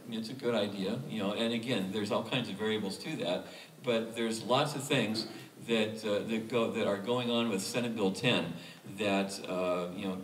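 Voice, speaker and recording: A man hosting a lecture panel, speaking haltingly with many fillers as he gives figures and introduces guests. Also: on the low side, fairly bright tone, slight background noise. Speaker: lecture series host and panel moderator. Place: museum lecture hall. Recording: echoey room, mic far off.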